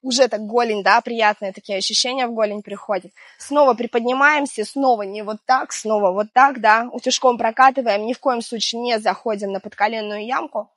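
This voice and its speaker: A woman in her thirties with a slightly high voice, a little hoarse from being ill. She talks through a foam-roller routine in a chatty, gentle manner. The sound is close and dry, recorded on a clip-on mic outdoors. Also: a little fast, hardly any pauses, no room sound. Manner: chatty, gentle, laughs between cues. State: hoarse from illness. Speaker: fitness instructor. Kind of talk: guided exercise instruction. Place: outdoors on a seaside promenade.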